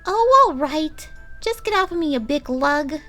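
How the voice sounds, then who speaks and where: A cheeky female rabbit from a cartoon story. The voice is extremely high and bounces around in pitch. She teases and flirts playfully, stretching out her words, with music underneath. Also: expressive, pushed and bright, exaggerated voice. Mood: grumbling. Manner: playful, teasing, drawn-out delivery.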